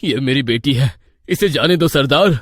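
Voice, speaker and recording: A man's voice: medium pitch, moderate pace, a bit bright, dry recording, clean studio sound, lightly acted. A man playing a timid, anxious villager in a cartoon horror story, with a fretful, pleading manner. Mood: frightened pleading. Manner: fretful, pleading, stammering with fright.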